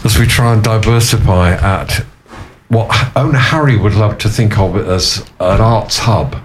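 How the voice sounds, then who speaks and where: A man's voice: on the low side, normal speed, a little hiss or hum. A man hosting a casual radio show from a venue studio, rambling and making puns. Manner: rambling, punning, chuckly. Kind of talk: casual on-air chat. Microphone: omnidirectional studio mics.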